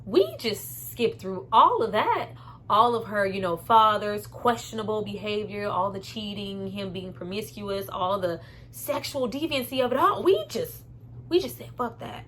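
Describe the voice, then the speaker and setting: A woman's voice: mid-range voice, animated, a little slow, fairly bright tone. Woman reviewing a film, noisy background. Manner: candid, opinionated, conversational, emphatic with slangy swearing. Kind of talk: casual spoken movie review.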